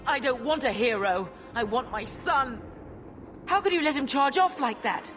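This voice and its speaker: Emotional woman, fairly high voice, earnest, dramatic fantasy game, music behind. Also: expressive, moderate pace, a bit bright. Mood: grieving, angry.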